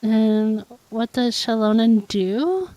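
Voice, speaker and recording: Woman, higher-pitched voice, game streamer, casual chatty delivery, thinking aloud. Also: lively intonation, takes it slowly, mellow, dry recording. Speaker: game livestreamer. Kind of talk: casual chat while playing a video game.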